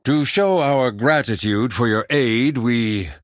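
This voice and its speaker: A man's voice: mid-range voice, slow pace, dry recording, studio quality, close to an everyday voice. A man playing a proud, fussy elven magister in a fantasy game, formal and grudging in delivery. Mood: grudging formality.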